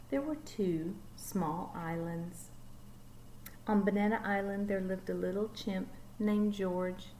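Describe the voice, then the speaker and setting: A woman teacher reading a picture book aloud to children, gently asking them questions and dropping to whispers. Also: fairly low pitch, slight background noise, poor audio. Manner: gentle, asks young listeners questions, drops to whispers. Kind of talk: storybook read-aloud to children.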